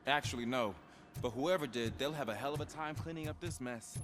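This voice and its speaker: A man's voice: medium pitch, fairly quick, loud music behind the voice, natural voice. An actor playing an anxious but helpful young rookie policeman in a video game. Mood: worried. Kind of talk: video game cutscene acting.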